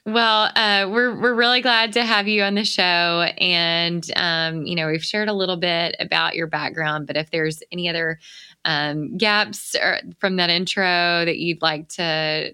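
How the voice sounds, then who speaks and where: A woman's voice: fairly low pitch, bright, forceful tone, clean tone, dry recording, studio quality. A woman from the American South with a Southern accent, hosting an interview podcast in a chatty, friendly way. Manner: friendly, chatty, laughs often. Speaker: podcast host.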